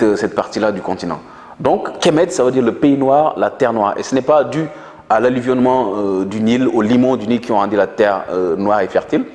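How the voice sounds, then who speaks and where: A man's voice: fairly low pitch, darkish tone. Man explaining in an echoey room. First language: Manjak. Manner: earnest lecturing tone with many hesitations. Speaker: guest. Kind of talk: explanatory interview talk.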